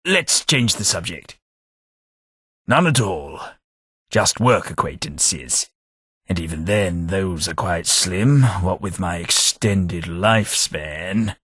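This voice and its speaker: An actor playing a formal, polite, long-lived London gentleman in a game, speaking with measured diction. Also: sits low, expressive, breathy edge, no echo, clean studio sound, heavily acted. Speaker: game companion. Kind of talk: game voice acting.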